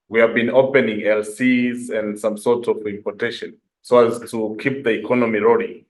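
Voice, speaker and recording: A man's voice: mid-range voice, poor audio. A man gives a formal, explanatory answer as a bank executive on a corporate results call. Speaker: bank head of asset and liability management.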